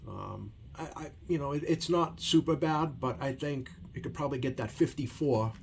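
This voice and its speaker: A man giving a casual hands-on demonstration as a repair technician, talking in a hesitant, rambling way with many ums and pauses. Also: mid-range voice, vocal fry. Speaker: CB radio repair technician hosting a video. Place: repair workbench indoors. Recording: noisy room tone behind voice.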